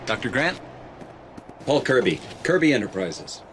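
A man's voice: medium pitch, sing-song, unhurried, a little hiss or hum. An actor playing an earnest, folksy middle-aged American father who poses as a wealthy adventurer. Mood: eager salesman. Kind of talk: film-style dramatic dialogue in a game.

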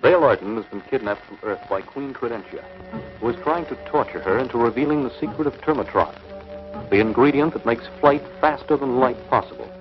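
A man's voice: mid-range voice, a little fast. A man reading scripted narration for a 1950s television sci-fi serial. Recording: muffled vintage TV soundtrack, music behind.

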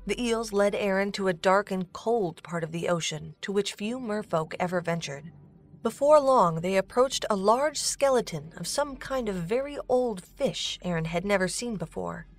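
A woman's voice: medium pitch, animated, normal speed. A woman narrator reading a scripted story for an audio drama. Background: music.

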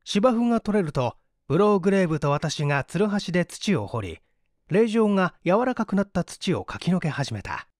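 A man narrating a mystery story as an audiobook, with an expressive, dramatic delivery. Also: high-pitched, normal speed, no echo, studio quality. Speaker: audiobook narrator, stage actor.

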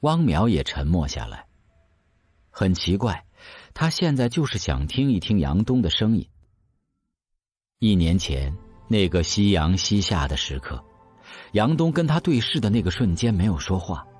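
A man's voice: sits low, sing-song, moderate pace, darkish tone, husky, soft background music. A man narrating an audio drama in a scripted storytelling style.

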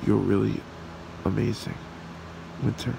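A man playing a smitten, shy red dragon boyfriend in an ASMR roleplay, speaking in a halting, tender way. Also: on the low side, gravelly. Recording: steady background hiss or room hum.

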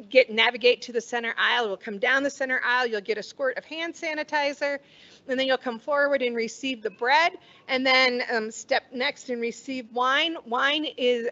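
A middle-aged woman pastor leads communion from a lectern mic in a church sanctuary, her voice sitting a little high. She speaks in slow, measured, solemn phrases. The livestream sound is dull and lo-fi. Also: fairly bright tone. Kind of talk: leading communion liturgy. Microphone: stand mic at a lectern, far camera.

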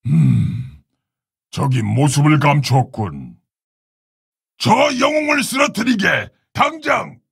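An actor playing a proud, honorable orc warrior shaman in a video game, speaking in a gravelly, husky, solemn and commanding way. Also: fairly high pitch, sing-song, slow, long pauses, a bit bright, no echo, raised voice, clean studio sound, heavily acted. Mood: solemn, calm. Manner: solemn, commanding, archaic formal phrasing. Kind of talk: video game character battle lines.

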